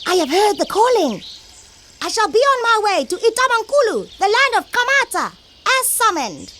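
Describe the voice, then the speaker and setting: A woman with a South African accent playing an excitable, cheeky female bunny in children's comedy audio theatre. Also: extremely high pitch, moderate pace, noisy background. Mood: awed, flustered. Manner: exclaiming, theatrical, playful.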